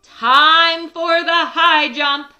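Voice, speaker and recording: A woman voicing a brisk male farm field-day announcer in a children's storybook, calling out loudly. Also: very high voice, fairly even intonation, faint music behind, pitched above the performer's own voice, heavily acted. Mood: rousing. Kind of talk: children's storybook read-aloud.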